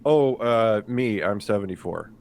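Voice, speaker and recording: A man chatting casually and slowly as a player in a tabletop game. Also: lowish voice, animated, vocal fry, a little mellow, slight background noise. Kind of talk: casual chat.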